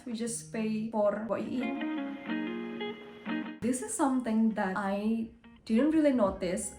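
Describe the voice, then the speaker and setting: A woman's voice: fairly high pitch, mellow. Chatty young woman. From Indonesia. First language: Indonesian. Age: 20s. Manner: chatty, often acts out people's voices. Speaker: vlogger. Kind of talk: casual chat to camera. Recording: roomy sound with faint music.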